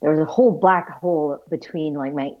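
Woman in her 30s from Malaysia, low voice, candid self-deprecating interview guest, dull lo-fi recording. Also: fairly quick. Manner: thoughtful, self-deprecating, many fillers. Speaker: guest, visual storyteller. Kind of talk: casual conversational interview. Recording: dull lo-fi sound.

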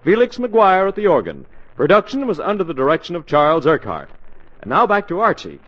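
A man's voice: high-pitched, huge pitch swings, brisk. An American man announcing in a polished, formal scripted style on a 1940s radio broadcast. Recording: muffled 1940s radio broadcast, faint music.

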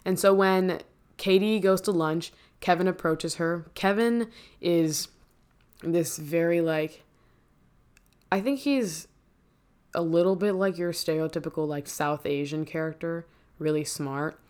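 A woman with a notably low speaking voice talks through a stage musical scene by scene. Her delivery is casual and conversational, dotted with "like" fillers. She often stops to correct herself mid-sentence. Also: unhurried, darkish tone, smooth. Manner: chatty, many "like" fillers and self-corrections. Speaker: musical theater fan recapping a show. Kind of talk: casual spoken recap.

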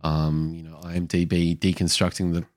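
A man's voice: low-pitched, little pitch movement, normal speed, darkish tone, no echo, clean studio sound. A man speaking as a podcast host in a casual, unscripted discussion about films.

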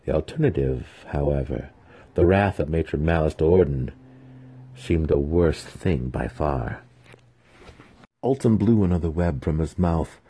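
A man narrates a dramatic audiobook in a very deep voice. The tone is dark and husky, and the delivery is measured and theatrical. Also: animated.

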